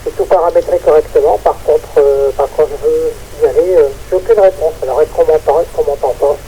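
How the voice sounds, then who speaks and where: A man's voice: fairly high pitch, brisk, dark tone. A man chatting casually over an amateur radio transmission, hesitant and repeating words. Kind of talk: casual ham radio chat. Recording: muffled, slightly noisy radio transmission.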